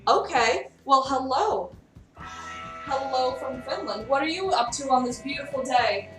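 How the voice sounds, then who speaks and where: A young woman cooks live and talks easily with her viewers, answering their messages as she goes. Her voice sits a little high. It sounds roomy and off-mic, with faint music behind her. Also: expressive, a little slow, far from the mic. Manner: chatty, reads out and answers chat. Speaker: streamer cooking live. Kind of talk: casual live-stream chat. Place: kitchen in a friend's house.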